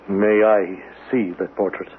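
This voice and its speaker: An actor playing a grave, pious reverend in a radio mystery drama, solemn and deliberate. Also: male voice, lowish voice, fairly even intonation. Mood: troubled. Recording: muffled, noisy old radio broadcast.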